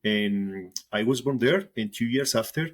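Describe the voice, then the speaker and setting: A man's voice: medium pitch, expressive, unhurried. A Colombian man in his late thirties who speaks English with a Colombian accent as a second language, a guest musician who talks casually and often repeats words while he searches for them. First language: Spanish. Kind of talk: casual interview talk.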